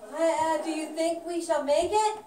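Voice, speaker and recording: A woman's voice: very high-pitched, normal speed, dark tone, pitched above the performer's own voice. A woman voicing an anxious, fretful little male mouse in a puppet show, with a hesitant manner. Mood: nervous. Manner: hesitant, despairing. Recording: roomy, distant, noisy live puppet show.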